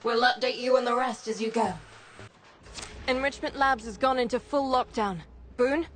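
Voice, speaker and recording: A woman's voice: fairly high pitch, moderate pace, faint music behind, close to an everyday voice. An actress voicing a determined woman who leads a rebel operation in a video game, giving orders with an authoritative briefing manner. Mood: brisk, commanding. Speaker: mission commander. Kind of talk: video game character acting.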